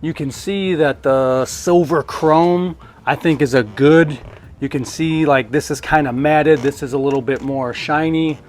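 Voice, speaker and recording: A man's voice: a little high, measured pace, a little hiss or hum. A man giving a casual, hands-on unboxing review in a conversational way, thinking aloud as he goes. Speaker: product reviewer.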